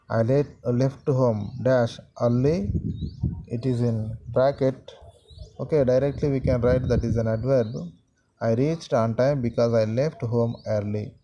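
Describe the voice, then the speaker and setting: A man teaching a grammar lesson to students, repeating sentences and pausing often with fillers. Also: medium pitch, background noise. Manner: slow, repetitive, halting with many fillers.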